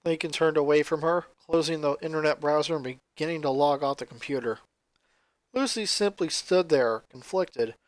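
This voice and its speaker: High-pitched man narrating a story. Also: normal speed, dry recording, poor audio. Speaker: fan fiction reader. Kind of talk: dramatic scripted story narration.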